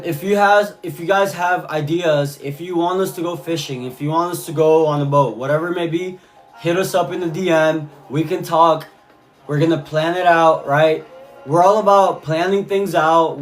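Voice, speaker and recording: A man from Cuba talking casually on a live stream, reading chat messages and answering viewers, often repeating his phrases. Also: a little high. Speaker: livestreamer.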